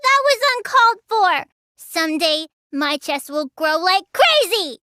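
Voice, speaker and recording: Casting: small teenage girl mage for a game; childish, bright, sluggish and whiny, recorded close and dry. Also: very high voice, big pitch swings, unhurried, dry recording, exaggerated voice. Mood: flustered, defensive, then matter-of-fact. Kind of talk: English video game voice acting.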